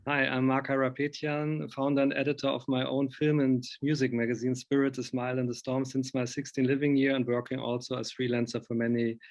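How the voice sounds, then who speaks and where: A man's voice: medium pitch, level tone, brisk, hardly any pauses. Hesitant middle-aged man on video call. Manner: hesitant, searching for words. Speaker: journalist and magazine editor. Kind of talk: halting interview questions. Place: indoor room, video call.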